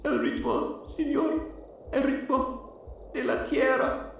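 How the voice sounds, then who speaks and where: A man's voice: very high voice, wildly swooping pitch, slow, gravelly, a bit bright, pitched above the performer's own voice, exaggerated voice. A man voicing a frightened, awestruck miner, exclaiming tensely in short bursts in a dramatic reading, through heavy echo. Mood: awed, tense. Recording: echoing, distant voice with background noise.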